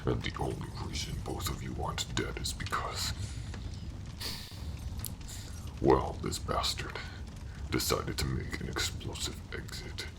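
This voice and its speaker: Gravelly, ultra-deep alien pirate captain. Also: male voice, steady tone, moderate pace, whispered. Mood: boastful, bitter. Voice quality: gravelly, breathy growl. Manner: threatening, sneering, boastful. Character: ruthless, boastful alien space pirate captain, menacing. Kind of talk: sci-fi audio roleplay acting.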